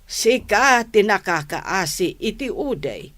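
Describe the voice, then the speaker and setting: A stern, caring mother , played by an actress, in an Ilocano radio drama. She speaks slowly and deliberately at a medium pitch with an Ilocano Filipino accent, admonishing her grown daughter. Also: big pitch swings, fairly bright tone. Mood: reproachful, concerned.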